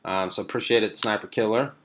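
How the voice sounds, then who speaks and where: A young man from Sweden with a lowish voice, chatting on a live stream. He reads out viewer comments and answers them in an easygoing, meandering way, stopping for plenty of ums and uhs. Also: quick pace, background noise. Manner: relaxed and rambling, many fillers. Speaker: car vlogger hosting a live stream.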